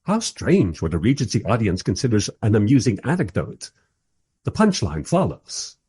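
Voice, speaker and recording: A man's voice: on the low side, wildly swooping pitch, moderate pace, darkish tone, slightly rough, heavily acted. A man playing a wry, bemused first-person narrator in a radio play, telling the story in a dry, literary way.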